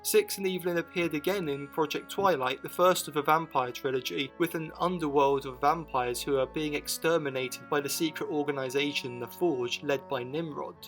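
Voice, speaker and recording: A man with a fairly high voice narrates a scripted retrospective. He mixes summary with personal opinion, and soft music plays behind him. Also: normal speed, few pauses. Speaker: video essay narrator. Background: music bed.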